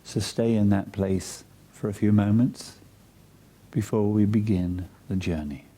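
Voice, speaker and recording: A man with a very dark, mellow voice guides a meditation at an unhurried pace. His tone is soft, husky and breathy. He speaks soothingly, in short measured phrases with long pauses between them. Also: on the low side, steady tone.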